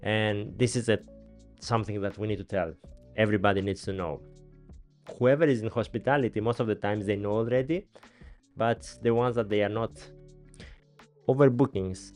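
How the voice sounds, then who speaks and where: A man's voice: on the low side, normal speed, a little mellow, faint music behind. A man in his thirties with a Greek accent, hosting a casual podcast interview in a small studio, chatty and laughing often. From Greece. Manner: chatty, laughs often, non-native grammar. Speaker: podcast host. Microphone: studio mic on boom arm, headphones.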